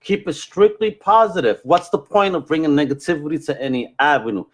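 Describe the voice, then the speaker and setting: A man with a fairly high voice hosts a casual podcast chat. He is expressive and informal, peppering his talk with slang as he goes. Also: brisk. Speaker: podcast host.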